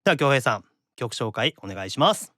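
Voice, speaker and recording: A man with a Kansai accent hosting a podcast, reacting with lively, casual retorts. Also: medium pitch, no room sound, clean studio sound. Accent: Kansai Japanese. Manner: animated, quick-reacting straight man who retorts. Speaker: podcast host. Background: faint background music.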